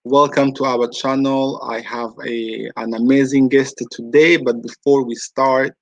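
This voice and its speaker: A man's voice: medium pitch, level tone, unhurried, dry recording, rough recording. A man from Rwanda hosting a casual online interview, chatty and full of fillers and restarts. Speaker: host.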